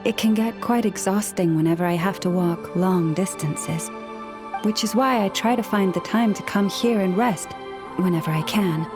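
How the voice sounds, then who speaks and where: A woman voicing a gentle, dignified priestess with antlers in a video game, speaking in a polite, formal and measured way. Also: mid-range voice, music in the background, lightly acted. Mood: polite, composed.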